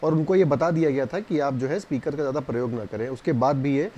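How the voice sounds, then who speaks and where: A man's voice: medium pitch, quick pace, dark tone, muffled recording. A man asking questions as a news reporter in a field interview. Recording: clearly noisy background.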